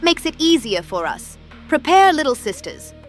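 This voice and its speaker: A woman voicing a vengeful villainess in an animated series, excitable and coldly menacing as she leads her sisters. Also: sits high, moderate pace, soft background music. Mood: scheming, menacing. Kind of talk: animated web series dialogue.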